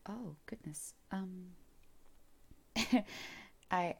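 A low, husky voice with a gravelly edge, a woman acting as a teasing, commanding mother in an audio roleplay. She coaxes softly and slips into whispers, heard up close on the mic. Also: drawn-out words, heavily acted. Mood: soft, coaxing. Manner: soft, coaxing, often whispered.

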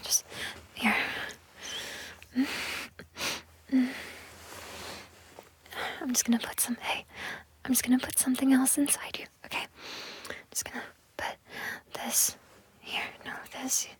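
A woman in a whispered intimate audio roleplay, talking in a breathy whisper with a slow, coaxing, possessive manner and many soft repetitions. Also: sits high, flat delivery.

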